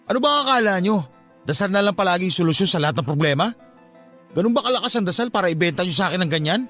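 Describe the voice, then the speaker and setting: A man's voice: sits high, lively intonation, very fast, a bit bright, soft background music. A man playing a troubled, stubborn, grieving young nephew in an emotional Tagalog radio drama. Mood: defiant. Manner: emotional, questioning.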